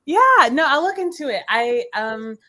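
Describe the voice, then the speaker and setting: A woman's voice: high voice, big pitch swings, measured pace, bright, forceful tone. A woman chatting casually and candidly as a podcast guest. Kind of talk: casual chat.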